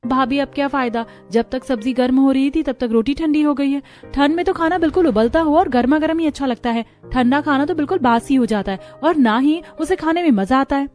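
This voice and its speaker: A woman's voice: high-pitched, brisk, few pauses, darkish tone, soft background music, natural voice. A woman playing a fussy, complaining female relative in a moral story. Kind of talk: acted dialogue in a moral story.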